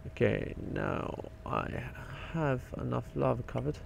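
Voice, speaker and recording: A young man with a croaky voice, rambling and swearing casually while narrating his gameplay. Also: medium pitch, measured pace, a little hiss or hum. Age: young adult. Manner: rambling, swears often, mutters to himself. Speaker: game video maker.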